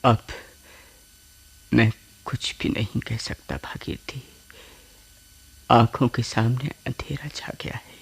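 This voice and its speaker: An actor in a radio play playing an anguished, defeated husband with a husky, breathy voice and slow, broken phrases. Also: male voice, medium pitch, steady tone, in a whisper, full character voice. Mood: anguished, pleading.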